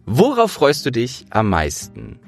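A man's voice: medium pitch, wildly swooping pitch, measured pace, faint music behind. A man hosting a casual interview, talking informally and explaining things as he thinks aloud. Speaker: interviewer and host.

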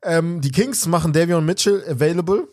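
A man co-hosting a casual podcast, using slang and thinking out loud, often trailing off mid-sentence. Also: on the high side, fairly quick. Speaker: podcast co-host. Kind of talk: casual chat.

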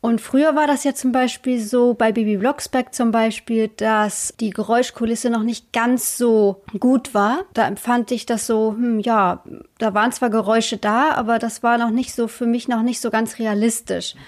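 A woman with a fairly high voice talks casually as an interview guest. She sounds enthusiastic and builds her sentences as she goes, pausing to find the next phrase. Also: steady tone.

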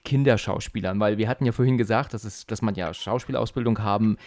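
A man's voice: lowish voice, fast, a little mellow, no room sound. A man hosting an interview, asking questions hesitantly with many fillers and agreeing often. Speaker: interviewer, host. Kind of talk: interview, casual chat.

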